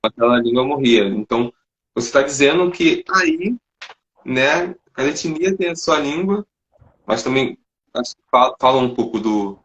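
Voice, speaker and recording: A man's voice: mid-range voice, lively intonation, moderate pace, fairly bright tone, poor audio. A Brazilian man hosting an interview, talking in a reflective, conversational way. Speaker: host. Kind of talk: interview podcast.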